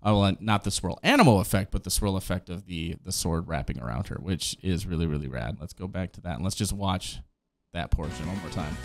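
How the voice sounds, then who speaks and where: A man in his thirties giving enthusiastic, slangy reaction commentary, casual, close on a desk microphone at home. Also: low voice. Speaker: streamer and reaction host. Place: small home gaming room. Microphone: close desk microphone on boom arm. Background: music.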